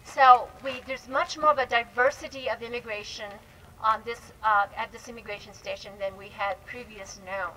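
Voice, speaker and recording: Lady presenting at a panel, bright highish voice, explains stuff haltingly, bit of noise behind. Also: steady tone, rough recording. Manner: explanatory, halting with self-corrections. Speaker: panel speaker, historian and researcher. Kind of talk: informal public presentation. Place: live panel discussion event. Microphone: event microphone. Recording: live event mic, faint background noise.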